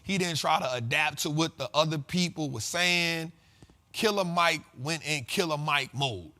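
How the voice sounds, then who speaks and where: A man hosts a money talk show in loose, slangy conversation. His voice sits a little higher than most men's. He stacks repeated lines the way a preacher does. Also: measured pace, sharp tone. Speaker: host of a finance talk show. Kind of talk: casual motivational monologue.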